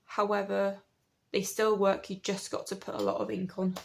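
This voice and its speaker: A woman in her late twenties, croaky from a cold, chatting in a rambling, self-correcting way as she talks through her printmaking. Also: medium pitch, level tone, normal speed, slight background noise. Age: late 20s. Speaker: artist and printmaker. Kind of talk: casual chat over studio work.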